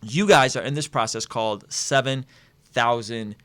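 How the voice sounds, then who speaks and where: A man is hosting a live stream and talking in an energetic way. He repeats words and restarts his sentences as he builds up a point. The sound is dry and close, with little room echo. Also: medium pitch, normal speed. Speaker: host.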